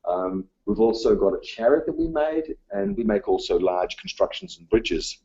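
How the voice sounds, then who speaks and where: A man speaks in a low, husky voice with a dark, mellow tone, giving a talk. His first language was Dutch. He hesitates a lot, filling the gaps with ums. The sound is dull and lo-fi. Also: animated. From Australia. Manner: halting, many ums and uhs. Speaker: teacher giving a conference talk. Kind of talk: presentation talk. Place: conference room.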